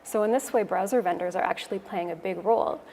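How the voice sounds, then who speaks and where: A woman's voice: medium pitch, fast talker, a little mellow. Canadian lady giving a conference talk, explaining stuff steadily, big echoey hall w a bit of noise. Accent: Canadian English. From Toronto, Canada. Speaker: conference speaker, web developer. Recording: echoey hall, noisy room ambience.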